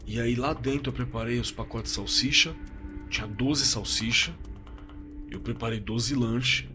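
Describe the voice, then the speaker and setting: A man playing a shaken witness in an audio drama, recounting a frightening encounter in a halting, stammering, conversational way. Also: mid-range voice, over music, close to an everyday voice. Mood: urgent, insistent. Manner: halting, stammering, repeating himself.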